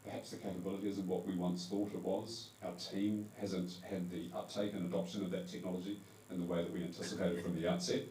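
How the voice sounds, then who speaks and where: A man's voice: deep voice, flat delivery, fast talker, warm tone. A man with a New Zealand accent giving a conversational presentation to a live audience at an event, correcting himself now and then with fillers. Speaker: conference panel speaker. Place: event hall. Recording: echoey hall, distant mic, faint hum.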